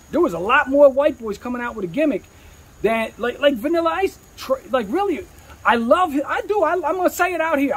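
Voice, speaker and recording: A man's voice: very high voice, low-quality recording. A man talking candidly in an interview as a rapper, with slangy, streetwise speech peppered with swearing.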